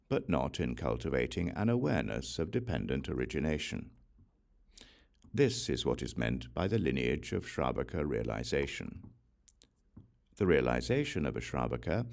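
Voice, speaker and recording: A man reading a Buddhist scripture aloud as an audiobook narrator, in a measured, solemn manner. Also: a bass voice, creaky, darkish tone. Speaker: audiobook reader.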